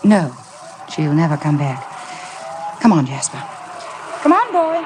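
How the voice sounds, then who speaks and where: An actress playing a gentle, anxious young wife in a 1950s radio drama, hesitant and pleading. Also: female voice, low voice, wildly swooping pitch, unhurried, background noise, full character voice. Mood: uneasy. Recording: vintage radio broadcast with music behind.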